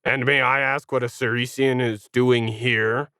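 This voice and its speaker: A man's voice: medium pitch, flat delivery, a little slow, sharp tone, smooth, no echo, clean studio sound. A man voicing a smug, whiny tentacled alien who sneers condescendingly at humans in an audio science fiction story. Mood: smug, amused. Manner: condescending, sarcastic.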